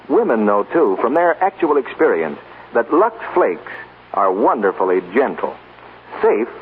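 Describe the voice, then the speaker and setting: An American man reading a 1940s radio commercial with earnest, polished salesmanship. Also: mid-range voice. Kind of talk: 1940s radio advertising read. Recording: tinny, noisy 1940s radio recording. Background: hiss and noise.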